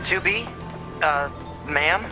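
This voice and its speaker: A man's voice: on the high side, slow. An actor playing an eager, friendly young male android scout in a video game, chatty and informal. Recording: loud music behind the voice.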